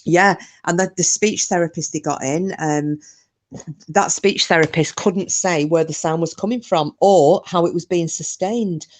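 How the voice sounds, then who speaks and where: A woman's voice: on the low side, a little slow. A woman co-hosting a podcast, chatting casually about a paranormal case, with lots of fillers and restarts. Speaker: podcast co-host.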